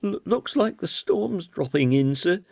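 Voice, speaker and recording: A man voicing a timid, bumbling young office worker in an audiobook, with a high, stammering, deferential delivery. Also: expressive, a little slow, gravelly, a little mellow, no room sound, clean studio sound, exaggerated voice. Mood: nervous, awkward. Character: nervous, bumbling young male office employee.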